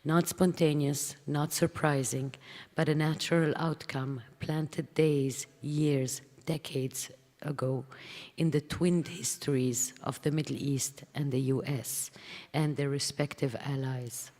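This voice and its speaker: A woman reading a formal written talk aloud in a measured, deliberate, literary manner, with a gravelly voice. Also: sits low, steady tone, a little mellow. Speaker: speaker reading another writer's paper. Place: conference hall, public event. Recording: echoey hall with long reverb.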